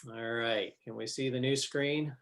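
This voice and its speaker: A man hosts a webinar in a casual, halting, apologetic way. His mid-pitched voice comes over a computer call with faint noise and clicks. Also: steady tone, creaky. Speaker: presenter of a webinar. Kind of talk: casual, unscripted screen-share talk. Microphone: computer on a video call.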